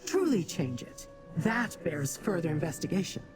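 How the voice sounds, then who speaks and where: A woman's voice: on the low side, big pitch swings, noisy background. An actress playing a haughty, calculating demon noblewoman in a fantasy game, speaking formally and condescending to mortals. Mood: calculating, musing. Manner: imperious, formal, measured wording. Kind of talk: fantasy game character acting. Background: game music.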